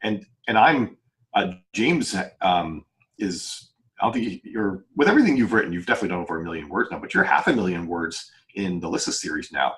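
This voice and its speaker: A man with a deep voice talks at a quick clip in casual podcast conversation. He explains things earnestly, rambling and backtracking to rephrase as he goes. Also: animated, fairly bright tone. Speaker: novelist and podcast panelist.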